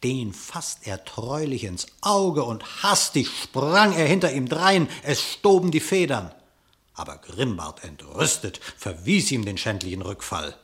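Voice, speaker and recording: A man narrating a radio play in verse, expressive and theatrical, with measured diction. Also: mid-range voice.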